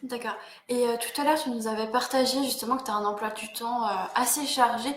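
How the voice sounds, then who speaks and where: A woman's voice: fairly high pitch, fairly even intonation, brisk. Woman interviewer in an echoey room. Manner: friendly, informal questioning. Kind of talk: casual interview questions. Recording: echoey room, faint noise.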